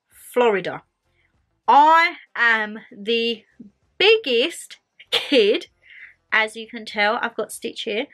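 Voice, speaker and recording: A young woman talks in a bright, fairly high voice, bubbly and animated. She chats casually to camera in a bedroom while getting over a cold, with faint music behind her. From England. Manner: bubbly and chatty, expressive hand gestures. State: lingering cold. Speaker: vlogger. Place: bedroom, indoors.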